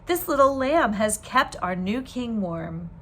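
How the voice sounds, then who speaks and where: A woman voicing a gentle, kind young mother in a storybook, speaking softly and tenderly. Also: a little high, lively intonation, measured pace, a little hiss or hum. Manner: soft, tender.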